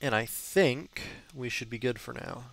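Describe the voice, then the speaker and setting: A man's voice: medium pitch, unhurried, gravelly, a bit bright. A young man in his early twenties with a stuffed-up nose, chatting casually and cursing while commenting on a block-building game. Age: young adult. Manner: chatty, cursing, rambling with fillers. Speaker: gaming channel host.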